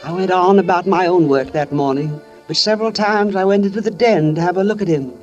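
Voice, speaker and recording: An actress playing a kindly, wary older housewife and mother in a 1940s radio suspense drama, speaking gently and carefully. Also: lowish voice, a little fast, loud music behind the voice, close to an everyday voice. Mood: uneasy narration. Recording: muffled old radio broadcast recording.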